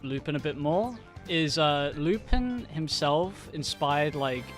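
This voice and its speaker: A man's voice: a little high, lively intonation, slow pace, a bit bright, soft background music. A man in casual podcast chat about anime, talking loosely with many fillers and laughing often. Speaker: co-host, anime movie reviewer.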